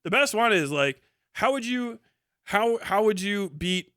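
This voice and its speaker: A man's voice: high-pitched, lively intonation, unhurried, sharp tone, no room sound, clean studio sound. A man delivering a casual, ranting livestream monologue in a colloquial, rambling, sweary way. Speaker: livestream host.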